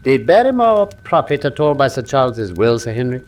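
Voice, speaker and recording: An actor playing a commanding British gentleman detective in a radio drama, with precise, clipped, formal diction. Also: mid-range voice, sing-song, a little fast, close to an everyday voice. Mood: probing. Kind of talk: classic radio drama acting.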